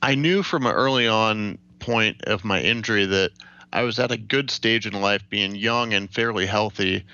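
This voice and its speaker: An American man in his late twenties giving a measured, matter-of-fact account as an interview guest. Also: lowish voice, creaky, sharp tone, no room sound, lo-fi sound. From Ohio, United States. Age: late 20s. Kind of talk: recounting personal experience in an interview.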